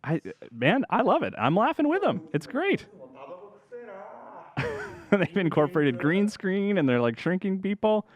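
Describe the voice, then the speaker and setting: A man's voice: sits high, very animated, measured pace, long pauses, lo-fi sound. Man casually commentating on a video game. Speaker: video game journalist, host. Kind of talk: casual chat, commentary over gameplay.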